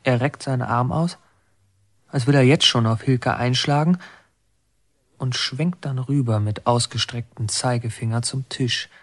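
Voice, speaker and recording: An actor playing a defiant, brooding young inmate in a radio drama, intense and urgent. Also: male voice, mid-range voice, unhurried, natural voice. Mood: tense narrating. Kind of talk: German radio drama acting and narration.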